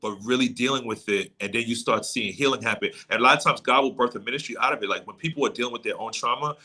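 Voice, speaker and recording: A man with a bright, punchy voice at a middling pitch talks as a pastor guest on an interview show. He teaches in a conversational way, explaining step by step and restating his main points. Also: fast, husky. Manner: explanatory, affirming, repeats key points. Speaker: guest, pastor and trauma coach.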